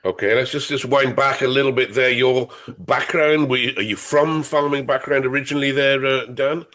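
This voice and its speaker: Male host with a cold, mid-pitched, chatty, quick to joke. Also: fairly bright tone. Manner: chatty and jokey, laughs often. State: has a head cold, sniffly. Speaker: podcast host. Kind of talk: casual interview.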